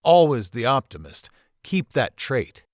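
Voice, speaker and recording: A man playing a courteous, wry, quietly mysterious college professor in a visual novel, speaking in a theatrical, measured way. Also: mid-range voice, very animated, no echo, clean studio sound. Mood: warm, amused. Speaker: professor character.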